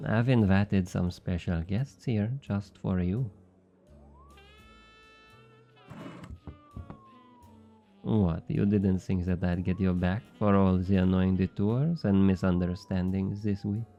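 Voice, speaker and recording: A man playing a low, dark-voiced flirty French thief. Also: steady tone, lo-fi sound, faint music behind. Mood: smug triumph. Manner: teasing, smug, drawn-out coaxing. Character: obnoxiously flirty, smug male thief and information broker. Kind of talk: intimate ASMR roleplay acting.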